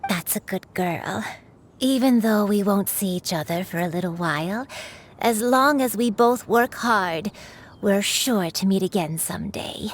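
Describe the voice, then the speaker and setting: A woman voicing a gentle elderly grandmother, a crane in human form, speaking tenderly in a game cutscene. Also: mid-range voice, slight background noise, lightly acted. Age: older. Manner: tender, slow and soothing.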